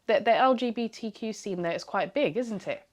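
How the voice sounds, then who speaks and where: A woman's voice: mid-range voice, lively intonation, normal speed, a little mellow, a little hiss or hum. A woman hosting an interview, asking friendly, curious questions and giving short agreements as she listens. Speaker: interview host.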